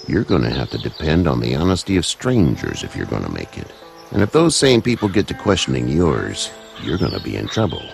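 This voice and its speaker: A shrewd old farmer from a video game. His voice is extremely deep and gravelly, and he speaks bluntly like a stern father, over music. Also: heavily acted. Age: older.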